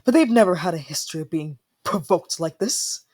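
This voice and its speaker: Casting: an actor as a proud young fantasy prince of frost, tsundere temper, husky mid-pitched voice, formal and prickly. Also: animated, fairly quick, a little mellow, close to an everyday voice. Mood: frustrated, anxious. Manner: indignant, formal, dramatic sighing delivery. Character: young haughty, easily flustered ice prince, tsundere temper. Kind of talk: fantasy audio roleplay acting.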